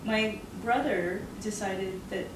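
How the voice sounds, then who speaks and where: A woman's voice: mid-range voice, fairly even intonation, slow. Earnest woman author, roomy distant sound. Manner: earnest, reflective, laughs often. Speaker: author interviewed about her novel. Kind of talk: casual interview conversation. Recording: roomy and noisy, mic far off.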